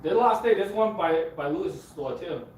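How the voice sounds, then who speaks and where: A man's voice: high voice, expressive, normal speed, darkish tone, recorded from a distance. A laid-back man trades dry remarks on a live stream and swears casually. He sits away from the mic. His voice echoes around the room over faint background noise.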